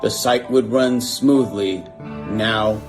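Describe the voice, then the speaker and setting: A man's voice: on the low side, slow. A man reciting a rhyming Christmas poem as a scripted message in a played video clip. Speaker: company executive. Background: loud guitar music.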